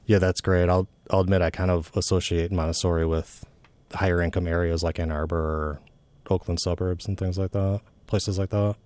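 Deep, mellow-voiced male host. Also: normal speed, no echo. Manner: relaxed, conversational, with ums and restarts. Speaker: interview host. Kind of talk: casual interview.

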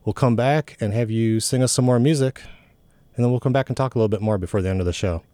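A man hosting a radio interview, asking friendly, halting questions full of fillers. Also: medium pitch, warm tone, no room sound. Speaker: radio show host. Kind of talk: casual interview.

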